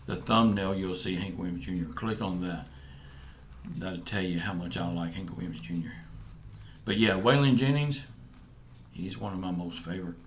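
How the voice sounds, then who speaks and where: A man's voice: sits low, a little hiss or hum. A man with a Southern US accent, casually answering viewer questions as a vlog co-host, easygoing and a bit rambling. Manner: easygoing, rambling, halting with fillers. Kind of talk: casual chat.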